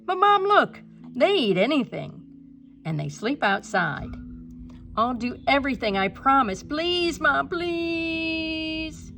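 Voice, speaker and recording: A woman voicing an excited, pleading young boy in a read-aloud storybook. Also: sits high, slow, vocal fry, faint music behind, voice pushed up, exaggerated voice. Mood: eager pleading. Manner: eager, exclamatory.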